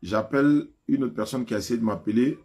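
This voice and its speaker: A man's voice: mid-range voice, fairly quick, background noise. A man with an Ivorian French accent hosting a live religious phone-in, talking informally to listeners and callers. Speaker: host of a religious call-in live stream. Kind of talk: live phone call-in talk.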